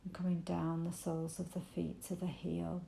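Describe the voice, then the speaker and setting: A woman with a mellow, creaky voice guides a meditation gently and soothingly. Her delivery stays very even and invitational, with unhurried pauses between phrases. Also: lowish voice, echoey room, slight background noise, poor audio. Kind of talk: guided body scan meditation.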